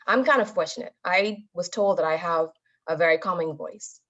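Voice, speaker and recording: A woman speaks with a somewhat low voice in a motivational talk. Her delivery is deliberate and emphatic, like a trained public speaker giving instruction. The audio is slightly dull and lo-fi. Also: a bit bright. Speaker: guest speaker, Toastmasters member.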